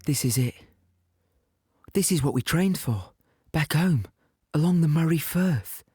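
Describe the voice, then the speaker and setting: A tense young British soldier in a wartime audio drama. His voice is fairly high and husky, recorded close and dry. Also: expressive, measured pace, a little mellow, dry recording. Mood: tense, braced. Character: tense young British infantryman about to land on D-Day. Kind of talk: dramatic monologue in an audio play.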